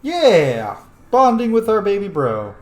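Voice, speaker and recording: A man's voice: sits high, huge pitch swings, slow pace, a little mellow. A man chatting informally and with some swearing as he gives casual commentary on a game he is playing. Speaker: gameplay host, role-playing commentator. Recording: roomy echo, faint noise.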